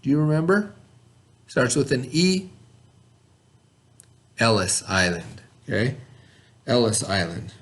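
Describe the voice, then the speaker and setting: A man's voice: mid-range voice, very animated, slow pace, pauses often, fairly bright tone. A man teaching young children, reading a story aloud and then reviewing it with patient questions.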